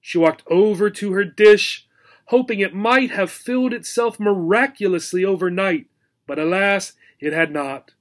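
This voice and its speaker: Higher-pitched man reading a children's story. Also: a little slow. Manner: expressive storytelling. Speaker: audiobook reader. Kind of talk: scripted children's story narration.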